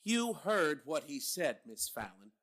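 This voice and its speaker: Radio drama: actor as a middle-aged captain, grave, measured. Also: male voice, fairly high pitch, sing-song, a bit bright. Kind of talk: old-time radio drama acting.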